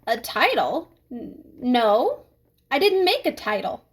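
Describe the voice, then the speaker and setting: A woman's voice: fairly high pitch, big pitch swings, takes it slowly, a little hiss or hum. A woman voicing a nervous, earnest schoolboy reciting a report in a storybook reading.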